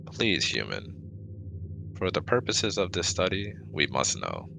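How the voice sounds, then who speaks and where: A man acting as a reserved alien scientist with an extremely deep, gravelly voice. He speaks formally and robotically, in slow, measured phrases, like a clinician in an ASMR sci-fi audio drama. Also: big pitch swings, noisy background, heavily acted. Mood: clinical, stiff. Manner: formal, robotic, avoids contractions. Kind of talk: scripted ASMR audio roleplay.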